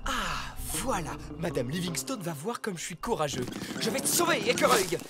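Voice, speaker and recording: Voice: mid-range voice, very animated, moderate pace, music in the background. A performer voicing a vain, jealous male pet dog in a French cartoon dub, boastful and theatrical. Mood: boastful, scheming. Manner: boastful, theatrical, swinging from grumbling to grand declarations.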